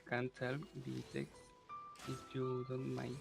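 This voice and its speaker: A woman talks very slowly on a call in an extremely low, flat voice with a gravelly edge, pausing and stumbling over her phrasing. Game music is loud behind her. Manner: halting, hesitant, broken phrasing. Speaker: friend on voice call.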